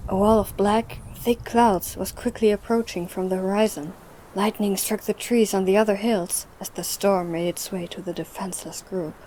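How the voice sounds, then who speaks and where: A woman's voice: mid-range voice, steady tone, normal speed, mellow, a little hiss or hum, low-quality recording. A woman giving scripted, dramatic story narration for an audio drama. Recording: music and storm behind.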